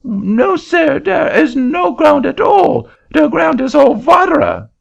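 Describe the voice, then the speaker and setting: A shrill, sputtering Frenchman. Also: huge pitch swings, slow pace, vocal fry, full character voice. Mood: indignant. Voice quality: shrill, sputtering broken English. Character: excitable middle-aged Frenchman swindled in land deal. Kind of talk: audiobook reading. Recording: dull lo-fi recording.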